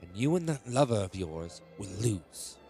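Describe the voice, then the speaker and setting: Menacing, low-voiced king played by a man. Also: sing-song, normal speed, creaky, dark tone, faint music behind. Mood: cold threat. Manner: threatening, scornful. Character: cold, menacing king, a contemptuous domineering father. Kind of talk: audio drama acting.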